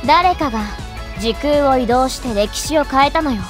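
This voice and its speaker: A woman playing a small, bossy, breezy goddess in a Japanese anime game, casual, cheeky and quick to scold. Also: sits high, natural voice. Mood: serious. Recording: music behind the voice.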